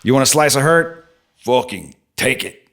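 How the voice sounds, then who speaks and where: An actor playing a blunt, needling, foul-mouthed streetwise stranger who lives in the subway, in an audio drama. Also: male voice, medium pitch, expressive, moderate pace, vocal fry, a bit bright. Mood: angry, blunt. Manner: blunt, profane, probing.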